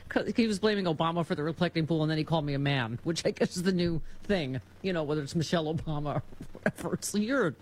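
An older woman hosting a talk show, chatting casually about politics with a husky voice, just over a cold, stammering through restarts. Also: fast talker, a little hiss or hum. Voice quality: husky, low voice. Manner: lively, stammering restarts, laughs easily. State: recovering from a cold. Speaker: radio talk show host.

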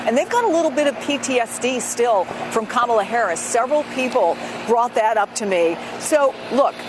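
A woman political reporter giving a live remote report, speaking emphatically and deliberately. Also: medium pitch, noisy background.

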